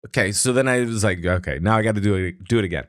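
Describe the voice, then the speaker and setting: A man co-hosting a casual gaming podcast, telling a story with lively acted-out lines. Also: lowish voice, rapid-fire, no room sound, studio quality. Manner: animated storytelling, acts out characters' lines. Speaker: podcast co-host.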